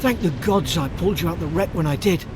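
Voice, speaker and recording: An earnest, shaken sailor acted by a man from a fantasy game. His voice sits a bit high for a man, plain-spoken and urgent, with rumbling outdoor noise clearly behind it. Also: fast talker, close to an everyday voice. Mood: relieved, urgent. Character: earnest, shaken male naval gunner, shipwreck survivor. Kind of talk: video game character dialogue.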